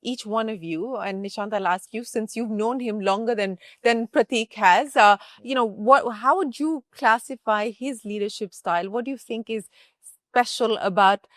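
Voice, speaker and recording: A woman's voice: a little high, moderate pace, no echo, clean studio sound. A woman with an Indian accent hosting a conversational business interview. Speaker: interviewer and host.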